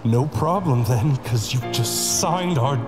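An actor playing a weary, protective castaway in an audio horror drama, with slow, earnest delivery. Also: male voice, medium pitch, animated, in a large hall, loud music behind the voice. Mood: angry. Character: weary, protective male castaway fighting to survive monsters.

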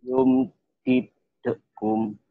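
A man with a thick Javanese accent reads back syllables very slowly and hesitantly, repeating what his teacher models. His voice comes through a narrow, tinny call line, like audio from a phone. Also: high voice, huge pitch swings, creaky, dry recording. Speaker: adult student. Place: home, on a video call.

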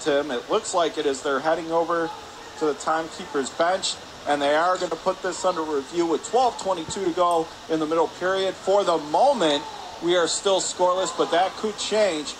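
A man giving live radio-style hockey play-by-play in clipped phrases, getting excitable on big plays. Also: a little high, normal speed. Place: indoor ice hockey arena. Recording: clear rink noise behind. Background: arena ambience and noise.

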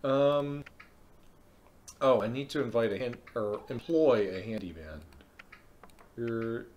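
A man streaming a video game live, thinking aloud in a relaxed way with frequent ums. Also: medium pitch, takes it slowly, dull audio. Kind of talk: live let's-play commentary.

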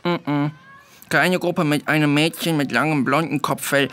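A man's voice: fairly high pitch, steady tone, a little slow, fairly bright tone. A male actor voicing a simple, literal-minded bird in a children's audio drama. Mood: obliging.

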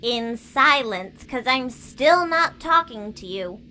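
A woman's voice: sits high, lively intonation, slow, pushed and bright, a little hiss or hum, full character voice. A woman playing a bold, blunt young female mole with dry teasing in a comedic audio drama. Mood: exasperated. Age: young adult.

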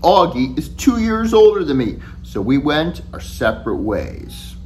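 A middle-aged man, an author reading his children's novel aloud to camera in an expressive, deliberate storytelling manner. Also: on the high side, slight background noise. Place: furnished room at home, indoors.

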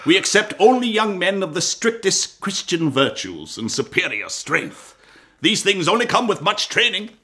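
An actor playing a commanding, zealous Templar marshal in a historical audio drama, with a theatrical, imperious manner. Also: male voice, high voice, big pitch swings, moderate pace, bright, forceful tone, exaggerated voice. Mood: amused, commanding. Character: commanding, zealous Templar marshal, sly recruiter of young men. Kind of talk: historical radio drama acting.

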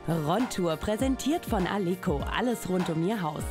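Woman, sponsor announcer, crisp ad read, lively pitch, music bed. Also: normal speed. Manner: crisp promotional delivery. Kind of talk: scripted ad read.

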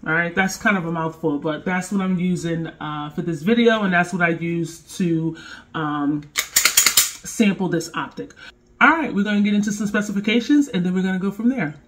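A woman's voice: lowish voice, normal speed, a bit bright. Stuffy woman from a cold, chatty review vibe, talking to camera in her kitchen. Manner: chatty, speaks directly to viewers. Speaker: firearms gear reviewer. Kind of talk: casual product review. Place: home kitchen.

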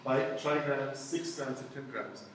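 A man's voice: mid-range voice, unhurried, fairly bright tone, far from the mic, slight background noise. A middle-aged man whose first language is Tamil, giving a conversational university lecture, often checking in with the audience. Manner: conversational, many "you know" and "okay?" check-ins. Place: lecture hall with blackboard and projector. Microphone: far room camera. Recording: echoey lecture hall, picked up from afar.

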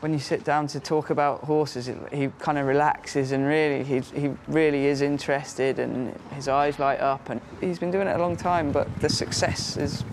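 A man's voice: a little high, steady tone, normal speed, hardly any pauses, slight background noise. A young man from England, a jockey, chatting casually in an interview and leaning on "you know".

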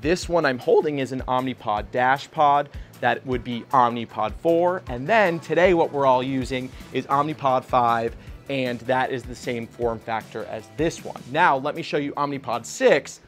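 A man in his thirties hosting a vlog, explaining a device in an upbeat, lightly joking way, recorded in a small studio room on a boom-arm mic. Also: moderate pace, faint music behind. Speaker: vlog host. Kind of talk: explainer narration.

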